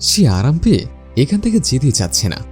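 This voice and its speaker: The sensible, kindly father bird of an animated bedtime fable, lilting earnestly and softly dark-toned from his branch, played by a man. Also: fairly high pitch, fast, faint music behind. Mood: weary, wary. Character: friendly, sensible male bird, helpful husband. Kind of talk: scripted cartoon dialogue.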